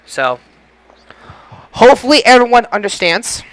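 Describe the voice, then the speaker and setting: A man hosting a podcast in casual talk, halting and often repeating or restarting his phrases. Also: very high-pitched, big pitch swings, a bit bright, dry recording, poor audio. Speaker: podcast host.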